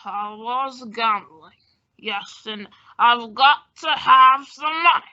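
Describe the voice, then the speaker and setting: A slippery, tipsy con-man doctor played by a woman, slow and drawn out. The voice is bright and fairly high, with smug old-time radio comedy delivery on a lo-fi recording. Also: full character voice. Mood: scheming, wheedling. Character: shady, boozy male con-man doctor, smugly slippery.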